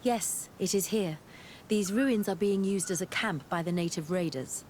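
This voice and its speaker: An actress playing a determined, wary scientist-explorer in a fantasy game, earnest and articulate. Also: female voice, on the low side, normal speed, darkish tone, slight background noise, natural voice. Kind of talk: scripted game cutscene acting.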